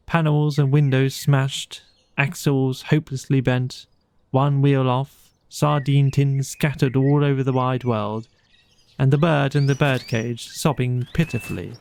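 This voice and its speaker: A man narrating a bedtime storybook in a measured, expressive storytelling manner. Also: mid-range voice, gravelly, slight background noise. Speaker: audiobook narrator.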